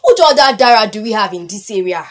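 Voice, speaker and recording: Actress as animated Nigerian gossiping neighbour. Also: female voice, mid-range voice, fast, natural voice. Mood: gossipy. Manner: exclamatory. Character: concerned, gossipy neighbourhood woman. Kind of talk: radio drama acting.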